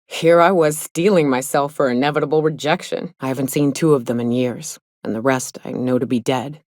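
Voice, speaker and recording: An actress playing a tough, guarded woman hunter in a video game, in her thirties, with a blunt, folksy drawl and rough slang. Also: a bass voice, steady tone, a little fast, darkish tone, no room sound, clean studio sound. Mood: somber, reflective. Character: tough, gruff, guarded woman monster hunter in a spaceship crew. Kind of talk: video game character dialogue.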